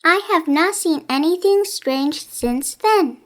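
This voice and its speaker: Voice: very high voice, animated, takes it slowly, fairly bright tone, exaggerated voice. A woman voicing a friendly, chatty young girl with an eager, lilting delivery in a children's story. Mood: bright, eager.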